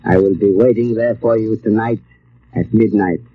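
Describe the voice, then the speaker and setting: A man playing a grandiose, menacing villain in a 1940s radio drama, speaking in a slow, pompous, deliberate way. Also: on the low side, steady tone, husky. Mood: commanding, menacing. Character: grandiose, menacing male villain plotting world chaos. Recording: muffled old radio recording.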